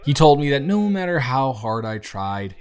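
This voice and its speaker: A man playing a bruised, self-deprecating teenage boy confiding in his best friend in an intimate audio roleplay, talking in a hesitant, gently wry, conversational way. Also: mid-range voice, sing-song, normal speed, natural voice. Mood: earnest, wounded. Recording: music behind the voice. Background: soft background music.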